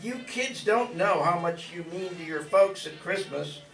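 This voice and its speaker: A man's voice: high-pitched, expressive, a little slow, vocal fry, a bit bright, exaggerated voice. Man playing a folksy older farmer, roomy sound. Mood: kindly, folksy. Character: folksy older farmer, kindly husband with grown children. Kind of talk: old-time radio drama acting. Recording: roomy, distant mic, faint music behind.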